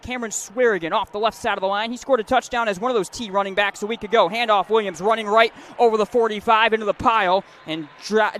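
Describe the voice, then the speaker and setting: A man's voice: sits high, a bit bright, slight background noise. A man doing live radio play-by-play of a football game, describing the action fluently and in detail. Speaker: play-by-play sports announcer.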